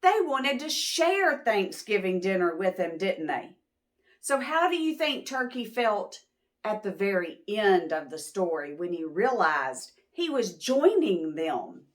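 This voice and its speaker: A woman's voice: medium pitch, big pitch swings, a little slow. A woman storyteller reading a picture book and talking simply to young children, often posing questions. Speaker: children's storyteller, channel host. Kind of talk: picture-book read-aloud, talking to preschoolers.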